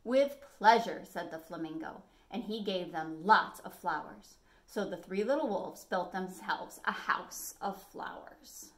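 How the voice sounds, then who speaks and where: An American woman in her forties reading a picture book expressively as a storytime host, phrasing clearly for children. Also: on the low side, a little slow, a bit bright. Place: small living room at home, indoors.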